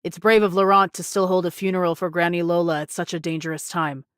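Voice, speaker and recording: A woman's voice: on the low side, flat delivery, fast talker, clean tone, no room sound, clean studio sound, close to an everyday voice. A woman voicing a wary, cynical female pirate with a blunt, scornful manner in anime game dialogue. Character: wary, cynical pirate woman who refuses risky ventures.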